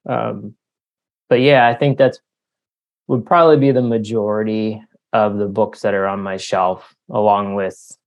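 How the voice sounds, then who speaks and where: Slow-talking, low-voiced man with creaky fry. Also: steady tone, lo-fi sound. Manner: halting, thoughtful, searching for words with long hesitations. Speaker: author being interviewed. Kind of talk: casual reflective interview talk.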